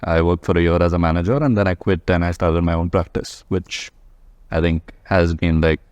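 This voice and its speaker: Deep, monotone Indian man. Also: normal speed, no room sound, highs cut off. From Mumbai, India. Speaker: guest, practicing chartered accountant. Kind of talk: casual panel interview.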